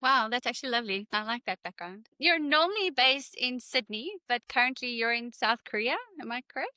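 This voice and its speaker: A woman's voice: fairly high pitch, brisk, sharp tone, dry recording, clean studio sound. A woman hosting a question-and-answer interview, friendly and working through prepared questions. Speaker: interviewer host.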